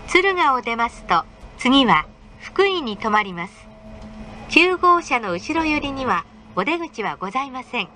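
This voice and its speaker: A woman announcer with a high, bright voice reads a train announcement. She is formal and polite, with lively intonation and careful diction. A faint train rumble runs behind her. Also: unhurried. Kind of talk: recorded scripted train announcement. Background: faint train running noise.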